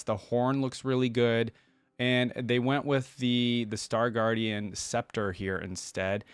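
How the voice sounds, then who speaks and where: A man giving casual reaction commentary as a video host, talking informally and thinking out loud. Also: mid-range voice, clear, resonant tone, no echo.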